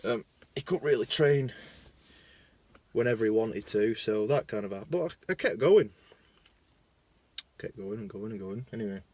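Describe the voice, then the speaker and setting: Chatty Lancashire lad, low voice. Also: male voice, expressive, normal speed, no echo. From Lancashire, England. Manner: chatty, self-mocking, swings between loud bursts and mumbling asides. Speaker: vlogger. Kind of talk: casual rambling monologue. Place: parked car. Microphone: phone.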